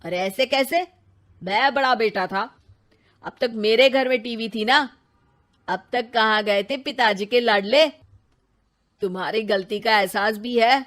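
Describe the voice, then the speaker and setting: A woman voicing a quarrelsome elder brother and husband in a cartoon story, loud and easily provoked. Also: fairly high pitch, a little slow, pauses often. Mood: indignant. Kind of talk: Hindi cartoon voice acting.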